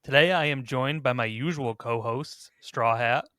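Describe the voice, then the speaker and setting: A man's voice: medium pitch, measured pace, a bit bright, no echo, clean studio sound. A man hosting a podcast, talking casually as he runs through a ranking discussion. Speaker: podcast host.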